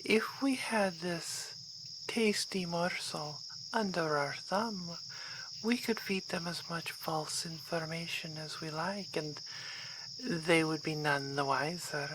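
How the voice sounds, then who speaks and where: Woman acting a languid, low-voiced vampire baroness. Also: slow pace. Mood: composed, scheming. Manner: formal, refined, languid. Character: vampire baroness, aristocratic, composed, hungry, seductive schemer. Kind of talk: ASMR roleplay acting. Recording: clear night insect noise behind voice.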